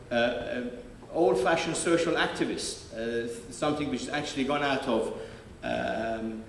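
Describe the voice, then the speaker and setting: A man's voice: medium pitch, a bit bright. Guy chairing a panel, lots of ums and restarts, far off in a big echoey hall. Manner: halting, many fillers and restarts. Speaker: panel moderator. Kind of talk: hesitant live introduction. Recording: distant mic, echoey hall, faint noise.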